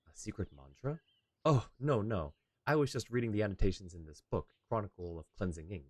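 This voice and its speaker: Man reading as a genteel bookish young swordsman, low-voiced. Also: expressive, fairly quick, no room sound. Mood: chatty, earnest. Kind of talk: reading game dialogue in character. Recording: game music behind.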